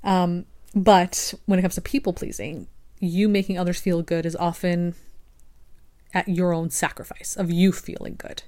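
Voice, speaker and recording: Woman, podcast host, slightly raspy, lowish voice, reflective casual monologue. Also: steady tone, normal speed, darkish tone. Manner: reflective, conversational, frequent fillers.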